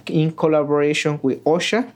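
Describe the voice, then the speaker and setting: A man from Oaxaca in Mexico, a Triqui speaker, answering interview questions as a community worker in plain, halting English. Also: on the high side. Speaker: community worker for a legal aid group.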